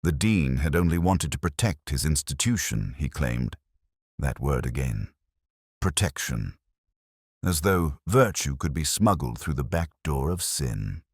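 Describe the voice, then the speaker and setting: A man playing a weary, melancholy police inspector, narrating his case in a measured, literary, brooding way as a first-person detective story. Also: extremely low pitch, expressive, husky, no echo, studio quality, heavily acted. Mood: sombre, reflective.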